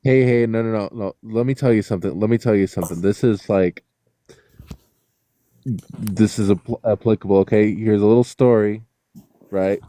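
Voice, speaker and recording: A man's voice: lowish voice, normal speed, long pauses, warm tone, no room sound. A man with a Chicago accent, Russian his first language, telling stories as a guest in casual talk, full of fillers and restarts. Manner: rambling storyteller, many fillers and restarts. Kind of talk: casual conversation.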